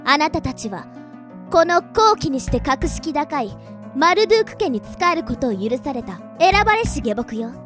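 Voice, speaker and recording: Haughty, high-pitched little demon girl. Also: very animated, normal speed, a bit bright, faint music behind. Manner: pompous, commanding, condescending. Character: haughty little demon noble girl, imperious and easily offended. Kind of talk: Japanese anime-style radio drama acting.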